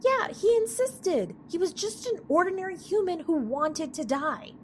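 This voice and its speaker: Actress doing devoted magical bestie, really high voice, swoopy and emotional, pleading, audio drama. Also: female voice, very animated, normal speed, a little hiss or hum. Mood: indignant. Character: impassioned, devoted young female magical best friend. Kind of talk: scripted fantasy comedy audio drama.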